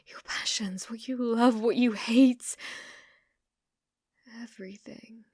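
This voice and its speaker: Casting: actress for obsessive British yandere woman, ASMR drama. Intimate, possessive delivery, fairly high pitch, close and dry microphone sound. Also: steady tone, slow, darkish tone, breathy edge, no echo. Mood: brooding, wistful. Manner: intimate, unhinged, swinging between whispers and intense pleading. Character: obsessive, delusional young woman stalker, sweetly menacing. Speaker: ASMR roleplay performer. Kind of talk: ASMR roleplay acting.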